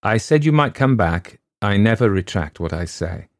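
A man's voice: on the low side, animated, normal speed, dry recording, natural voice. A man voicing an irascible old father who guards a painting, snarling and scornful in a storybook reading. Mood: vehement. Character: irascible old male art-collector father. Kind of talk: audiobook reading.

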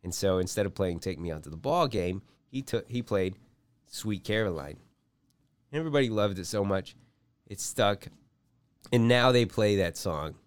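A man's voice: on the low side, animated, normal speed, clear, resonant tone, no echo. A young man co-hosting a comedy podcast, rambling through a story in casual chat and laughing often. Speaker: podcast co-host.

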